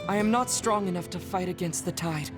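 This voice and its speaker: A man voicing a reserved young male soldier who hides a secret, speaking formally and softly. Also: high voice, fairly quick, close to an everyday voice. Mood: worried, formal. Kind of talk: game cutscene dialogue. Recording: game audio with music behind.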